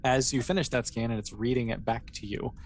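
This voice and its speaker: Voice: medium pitch, fairly even intonation, brisk, darkish tone, slight background noise. A game master improvising narration for a tabletop role-playing game in an informal, conversational way.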